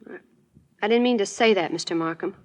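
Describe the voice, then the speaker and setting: An actress playing a plain-spoken, wounded magician's assistant under suspicion, in earnest, colloquial 1940s radio style. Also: female voice, medium pitch, fairly quick, darkish tone, close to an everyday voice. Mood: hurt, earnest.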